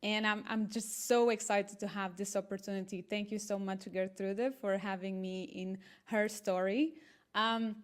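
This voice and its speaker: A woman's voice: medium pitch, steady tone, measured pace, smooth. A woman in her thirties from Portugal, speaking English as an eager, earnest interview guest, with small restarts. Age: 30s. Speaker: guest, marketing entrepreneur. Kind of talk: casual interview talk.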